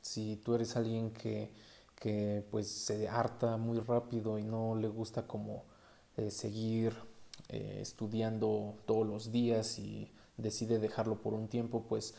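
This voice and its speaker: A man's voice: lowish voice, monotone, takes it slowly, gravelly, mellow. A man with a Mexican accent talking casually as a solo podcast host, conversational and often adding little tag questions.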